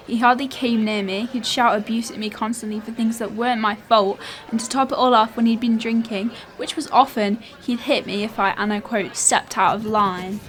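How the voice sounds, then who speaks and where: Woman acting a high-voiced, uneasy widow. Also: natural voice. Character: bitter, uneasy widow of an abusive husband. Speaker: actor in a radio play. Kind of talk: student radio drama acting.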